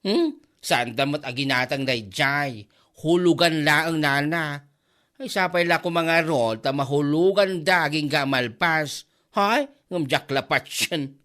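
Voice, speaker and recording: A woman's voice: low voice, measured pace, a bit bright. A woman playing a gossipy, mocking neighbour in a comedy drama, teasing and exclamatory. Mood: gossiping, scornful. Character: mocking, gossipy neighbour who teases and scolds. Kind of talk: Ilocano comedy drama acting.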